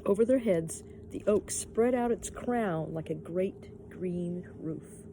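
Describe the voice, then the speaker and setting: A woman's voice: on the low side, slow pace, background noise. A woman narrating a children's story expressively, like a teacher reading aloud.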